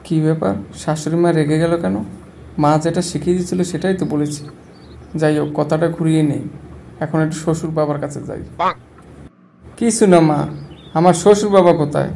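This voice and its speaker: A man's voice: fairly high pitch, fairly even intonation, normal speed, vocal fry, long pauses, dark tone, a little hiss or hum. A man voicing a naive, foolish young son-in-law in a Bangladeshi Bengali cartoon, with a Bangladeshi Bengali accent. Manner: earnest, naive and boastful. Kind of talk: cartoon voice acting.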